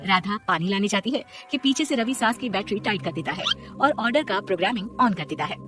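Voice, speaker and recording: Animated woman storyteller. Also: medium pitch, a little fast, over music. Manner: dramatic storytelling. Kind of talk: scripted story narration.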